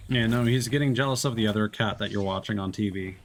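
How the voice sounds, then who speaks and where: A man streaming a video game, chatting along in a relaxed, joking way and laughing often. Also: on the low side, fast, slight background noise. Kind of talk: casual gameplay commentary.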